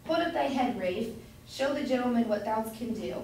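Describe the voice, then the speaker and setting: A woman's voice: fairly high pitch, lively intonation, moderate pace, dark tone. A woman playing an eager, chatty, naive grocer's wife in an amateur staged reading of an old comedy, gushing and insistent. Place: indoor room at a college, far from mic. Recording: distant echoey room, faint noise.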